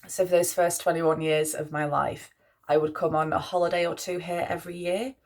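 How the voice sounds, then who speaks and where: Low-voiced Mancunian woman musing softly. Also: flat delivery, fairly quick. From Manchester, England. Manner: musing, soft-spoken, with frequent restarts. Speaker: priestess. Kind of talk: spoken reflection on spiritual values.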